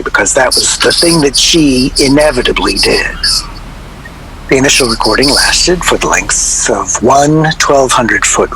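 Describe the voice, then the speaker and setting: A man playing a wry, middle-aged record-label veteran in a radio drama, reminiscing to an interviewer in a halting, digressive way. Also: medium pitch, sharp tone, rough recording. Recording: location recording with clear background noise.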